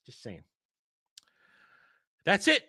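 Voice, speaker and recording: A man hosting a casual livestream, rambling and excitable, restarting his sentences often. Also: drawn-out words, no echo, clean studio sound. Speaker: livestream host.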